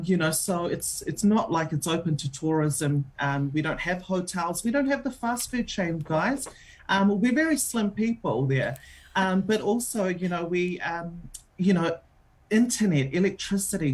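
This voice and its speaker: A woman's voice: fairly low pitch, animated, measured pace. A woman with a New Zealand accent, a radio show host being interviewed on air, talking in a chatty way with many filler ums.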